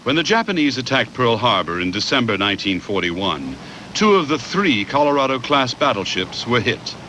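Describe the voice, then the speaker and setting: A man reads scripted documentary narration in a measured, factual way. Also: medium pitch, very animated, bright, forceful tone, slight background noise, poor audio.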